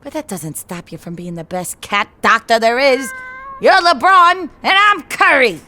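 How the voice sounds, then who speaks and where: A woman's voice: fairly high pitch, expressive, measured pace, sharp tone, slight background noise. A woman voicing a brash, loyal, odd-ball raccoon nurse in an audio drama. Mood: exasperated, encouraging.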